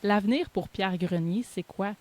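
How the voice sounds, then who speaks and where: Québécois woman hosting, medium pitch. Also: normal speed, warm tone. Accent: Québécois French. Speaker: interviewer, host. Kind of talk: casual conversational interview.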